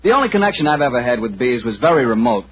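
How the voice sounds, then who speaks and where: A man's voice: medium pitch, big pitch swings, very fast, husky. A man with an American accent announcing in 1940s radio style, with an emphatic, salesman-like delivery. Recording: dull band-limited 1940s radio recording.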